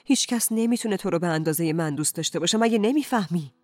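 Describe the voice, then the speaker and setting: A woman's voice: on the low side, expressive, a little mellow, dry recording. Woman reading as a worked-up middle-aged husband in an audiobook, insistent, accusing, dry sound with music. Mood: urgent pleading. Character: agitated, reproachful betrayed husband, middle-aged man.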